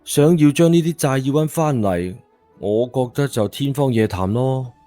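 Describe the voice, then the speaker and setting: A proud young graduate, played by a man, exasperated and dismissive in his grumbling. His pitch is ordinary for a man. It is audio drama acting with quiet music underneath. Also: animated, moderate pace, no room sound, lightly acted. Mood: sceptical complaining. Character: jaded, proud young smooth-talking graduate, easily exasperated.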